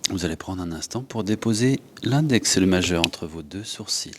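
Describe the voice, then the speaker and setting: Guy w deep voice leading yoga breathing, slow short cues w pauses, bit of rumbly noise behind. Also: expressive. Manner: unhurried, instructing in short phrases with pauses. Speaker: yoga teacher. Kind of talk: guided yoga and breathing instruction.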